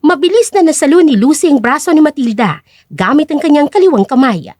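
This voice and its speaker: A woman's voice: high voice, expressive, fairly quick, darkish tone, no room sound, clean studio sound. A woman narrator reading a scripted story in a dramatic style.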